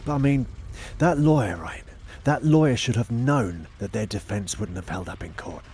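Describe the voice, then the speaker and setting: A man's voice: on the low side, lively intonation, moderate pace, a little mellow, breathy edge. A man acting in an audio drama as an uneasy, doubting private investigator with a hesitant, questioning way of talking. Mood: doubtful. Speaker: voice actor.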